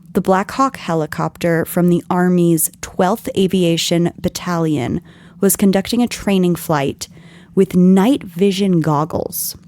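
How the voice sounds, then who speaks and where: A woman hosting a podcast, her voice scratchy and strained from yelling, explaining things in an earnest, scripted way. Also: low-pitched, no room sound. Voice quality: scratchy, husky. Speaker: podcast host.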